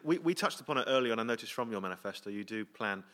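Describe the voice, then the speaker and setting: A man hosting a comic radio show before a live theatre audience, brisk and bemused, often laughing. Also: on the low side, expressive, very noisy. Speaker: host.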